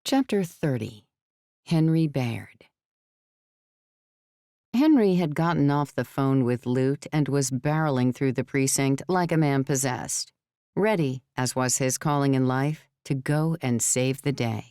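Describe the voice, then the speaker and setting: A female audiobook narrator reads scripted fiction. Her voice is very low for a woman, smooth and resonant, recorded close with no room sound. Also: lively intonation, a little slow, dark tone, no echo.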